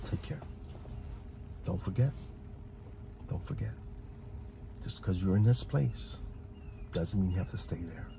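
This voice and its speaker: A man's voice: sits low, expressive, measured pace, creaky, long pauses, noisy background. A man giving a rambling, motivational ASMR talk in an earnest, encouraging way, repeating phrases for emphasis. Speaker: ASMR video host.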